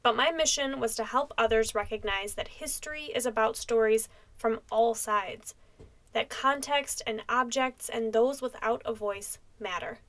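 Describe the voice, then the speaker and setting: Young american woman, podcast host reading a script, voice on the higher side. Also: measured pace, a bit bright. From United States. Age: young adult. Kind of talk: scripted narration.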